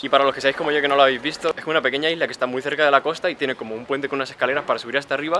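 A man in his twenties chatting casually to camera while travelling, filming at arm's length outdoors and talking in a quick, chatty way. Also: mid-range voice, sharp tone, a little hiss or hum. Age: 20s. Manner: chatty, rapid, filler-heavy. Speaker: travel vlogger. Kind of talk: casual travel vlog narration. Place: outdoors on a bridge, city streets and coastal trail. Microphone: handheld camera at arm's length.

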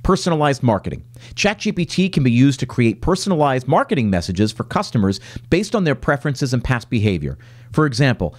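Man, 40s, solo podcast host at a home desk; animated pitch, middle-range voice, casual explainer with fillers. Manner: reads lists aloud, then talks loosely with many fillers and restarts. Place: home office with plants.

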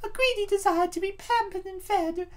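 A woman's voice: very high-pitched, moderate pace. A woman voicing an anxious, self-doubting motherly space princess in a comic dub, speaking with earnest, troubled hesitation. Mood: troubled confiding.

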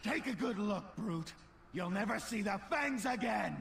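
An actor playing a proud, defiant elf guardian in a video game, taunting an enemy deliberately. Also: male voice, high voice, animated, gravelly, background noise, exaggerated voice. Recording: game audio buried under loud music.

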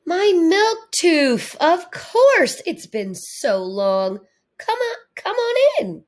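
Woman acting a tender, welcoming lady, very expressive. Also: very high-pitched, drawn-out words, voice pushed up, full character voice. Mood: surprised, welcoming. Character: welcoming adult woman, tender owner of baby tooth.

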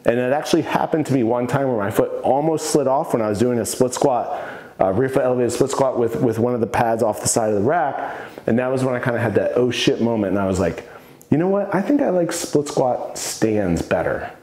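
A man's voice: mid-range voice, a little fast, warm tone. Male, 30s, fitness coach, mid-range voice, casual and enthusiastic, echoey gym room. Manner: enthusiastic, casual, opinionated about gear. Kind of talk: casual home gym tour to camera. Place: home gym in a basement room.

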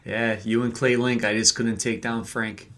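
A man's voice: medium pitch, little pitch movement, moderate pace, fairly bright tone. A man giving conversational sports analysis as a podcast guest.

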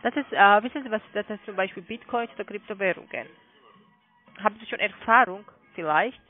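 A high-pitched man with a foreign accent speaks over a narrow, tinny phone line. He questions insistently in non-native phrasing, like a cold caller. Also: a little fast, soft background music. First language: not German. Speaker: call-centre caller.

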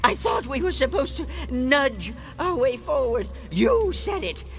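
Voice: high voice, very animated, a little slow, a bit bright, full character voice. An actor playing a junior demon tempter in a radio drama, an eager but hesitant, questioning underling. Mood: defensive, puzzled. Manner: defensive, questioning.

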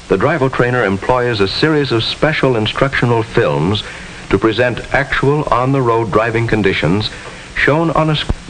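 A man's voice: fairly low pitch, a bit bright, rough recording. An American man giving formal, instructive narration with precise diction in the style of a 1950s educational film. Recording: hissy 1950s film soundtrack.

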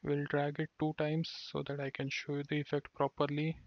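A man giving a screen-recorded software tutorial, explaining steps one by one and sometimes restarting words. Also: on the high side, completely flat delivery, brisk, no room sound. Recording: dull dry lo-fi voice-over.

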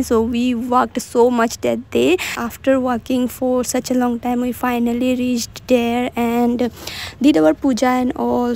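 A woman's voice: on the high side, vocal fry, darkish tone, a little hiss or hum. A young woman from India giving a chatty voice-over for her daily vlog, retelling her days in simple sentences with many fillers. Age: young adult. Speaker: vlogger. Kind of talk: voice-over narration of a travel vlog.

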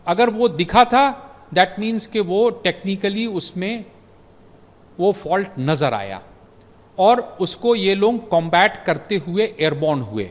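Slow, high-voiced Indian man, very echoey. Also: expressive, a little hiss or hum. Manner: hesitant, mixing Hindi and English. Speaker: aviation expert commentator. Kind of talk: unscripted expert commentary. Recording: muffled audio in a reverberant room.

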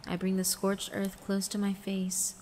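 A woman giving a dramatic first-person narration of a visual novel, theatrical and hushed, with deliberate pauses. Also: mid-range voice, monotone, slight background noise. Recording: long reverb, music underneath. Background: background music.

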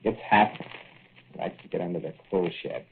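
A dreamy small-town American laborer on a noisy old radio show. His voice is low and gravelly, and he muses stubbornly in plain colloquial speech. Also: steady tone, moderate pace, phone-quality sound. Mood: dreamy, musing. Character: dreamy, stubborn small-town pipe-fitter fixated on flies. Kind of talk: 1940s radio drama acting.

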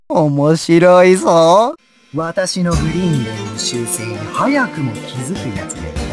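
An actor playing a sadistic, deranged surgeon villain in a video game, theatrical, moving between silky menace and manic screaming. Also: male voice, a little high, big pitch swings, fairly quick, few pauses, fairly bright tone, soft background music. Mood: amused, sinister. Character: sadistic, deranged male villain surgeon, gleefully obsessed with despair. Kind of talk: Japanese video game voice acting.

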